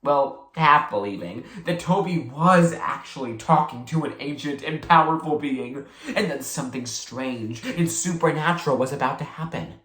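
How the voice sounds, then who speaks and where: A reader narrating a children's novel aloud in expressive storytelling style. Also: medium pitch, normal speed. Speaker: book reader. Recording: echoey room.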